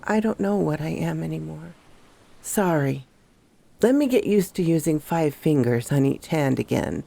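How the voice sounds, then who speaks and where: A woman playing a troubled female park ranger who recounts her horror story in a measured, confiding first-person narration. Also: low voice, lively intonation, creaky, mellow, full character voice. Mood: unsettled, reflective.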